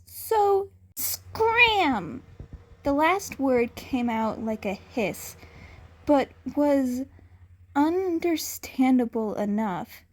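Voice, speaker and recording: A woman's voice: sits high, animated, takes it slowly, darkish tone. A woman reading scripted fan fiction as a storyteller.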